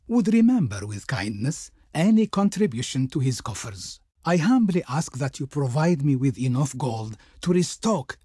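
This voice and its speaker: An actor playing a proud, ceremonious Egyptian emissary in a video game, declaiming flowery, servile words with formal reverence. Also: male voice, on the high side, dark tone, slightly rough, no room sound, studio quality, full character voice. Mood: obsequious, courtly. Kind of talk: theatrical video game character dialogue.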